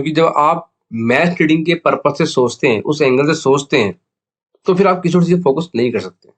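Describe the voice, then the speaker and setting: An Indian man talks as the host of an explainer video. His pitch sits in the middle range, and his throat is sore. He explains in a conversational way, switching between two languages. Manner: conversational Hindi-English code-mixing, explanatory. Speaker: video host, cricket betting tipster. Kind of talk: casual explainer monologue.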